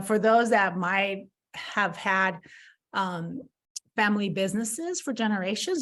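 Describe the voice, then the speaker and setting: A woman's voice: medium pitch, a bit bright. A woman in her fifties, a podcast guest, talking candidly about her past mistakes and often repeating words as she restarts. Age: 50s. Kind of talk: candid conversational interview.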